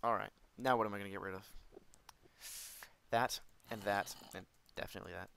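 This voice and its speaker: A young man casually commenting on his own gameplay, talking himself through each move, his voice a little hoarse and cracking, with sudden yelps when he gets hurt. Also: fairly low pitch, fairly even intonation, brisk, background noise. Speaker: Minecraft let's player.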